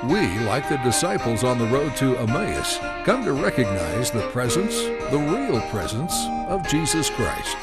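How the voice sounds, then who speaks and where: A man reads scripted narration in a steady, formal way. His pitch moves about a great deal. Loud music plays under his voice. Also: a little slow, hardly any pauses.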